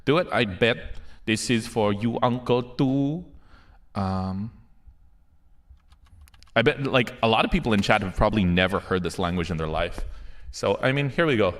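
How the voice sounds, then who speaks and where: A man's voice: on the low side, expressive, moderate pace, pauses often. A man from the Philippines hosting a karaoke livestream, chatting casually and slangily with his viewers as bros and boys. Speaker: livestream host and karaoke singer. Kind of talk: casual livestream chat between songs. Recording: music playing loudly behind the voice. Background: loud karaoke backing music.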